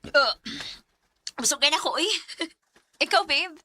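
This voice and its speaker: A woman's voice: high-pitched, expressive, takes it slowly, sharp tone, a little hiss or hum, exaggerated voice. A woman playing a chatty, girlish young girlfriend in a Bisaya radio drama, with a Cebuano Filipino accent. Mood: playful, teasing. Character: Alice, chatty teasing young girlfriend and best friend.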